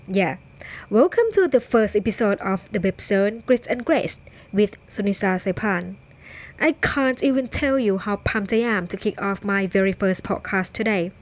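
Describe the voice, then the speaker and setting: Woman, Thai accent, fairly high voice, bubbly, chatty podcast host. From Thailand. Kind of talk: casual solo podcast chat.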